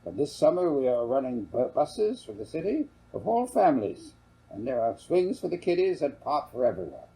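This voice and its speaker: A man's voice: medium pitch, big pitch swings, moderate pace, dark tone, voice pushed up, poor audio. A man voicing a slick, ingratiating land salesman who blusters and stammers in a storybook reading. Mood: smooth pitching. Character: slick, flustered salesman pushing a land scheme.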